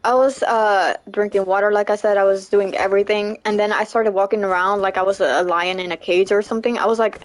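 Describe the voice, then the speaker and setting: A woman's voice: mid-range voice, steady tone, a little fast, hardly any pauses. A young woman streaming a game, chatting casually with viewers and laughing often. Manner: chatty, laughs often, repeats "you know what I'm saying". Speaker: livestreamer. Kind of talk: casual chat while gaming, reading chat.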